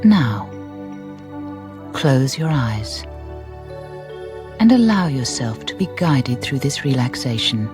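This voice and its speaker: A woman narrating a guided meditation in a soft, breathy voice, soothing and deliberate, with gentle phrasing and pauses. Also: a bass voice, animated. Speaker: relaxation guide. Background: music.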